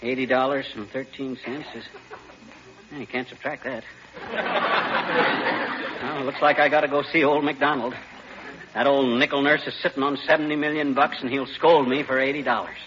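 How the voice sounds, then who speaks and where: An actor playing a blustering, folksy middle-aged husband and comic schemer in a 1940s radio comedy. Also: male voice, medium pitch, steady tone, normal speed, long pauses, lightly acted. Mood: grumbling. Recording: noisy, dull old radio recording.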